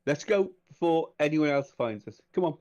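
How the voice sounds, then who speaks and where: A man's voice: a little high, lively intonation, normal speed, a little mellow, dull audio. A man playing a tabletop game, taking charge and proposing plans in casual chat.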